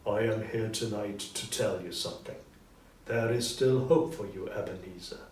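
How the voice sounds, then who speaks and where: A man's voice: fairly low pitch, a little mellow, natural voice. A man voicing the anguished, regretful ghost of a dead businessman in a storybook reading, with solemn, emphatic delivery. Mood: solemn warning. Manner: slow, solemn, emphatic declamation. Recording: roomy echo, distant mic, background noise.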